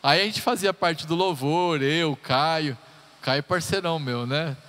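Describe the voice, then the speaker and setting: A man's voice: on the high side, measured pace, a bit bright. A man in his thirties, a pastor giving a chatty, joking sermon introduction with personal stories to his congregation. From Brazil. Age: 30s. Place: church hall. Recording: live church hall reverb.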